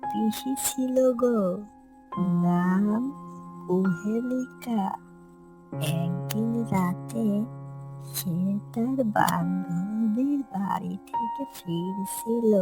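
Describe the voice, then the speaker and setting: A woman acts as an old village grandmother in a cartoon story. She is knowing and concerned, and speaks extremely slowly in deliberate, stretched phrases over clearly heard music. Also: mid-range voice, poor audio. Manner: slow, deliberate, drawn-out phrasing.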